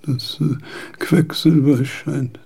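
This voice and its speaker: Frail, halting old man, very slow. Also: mid-range voice, steady tone, mellow, exaggerated voice. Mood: feeble, rambling. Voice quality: husky, creaky, thin. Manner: halting, broken phrases with repetitions. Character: frail, failing old philosopher near eighty, wandering mind. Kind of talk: radio drama acting.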